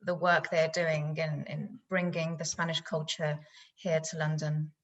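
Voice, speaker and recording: Woman interpreting for a q&a, lowish voice, pretty flat intonation, measured summaries. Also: slight background noise. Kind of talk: interpreting at a live Q&A.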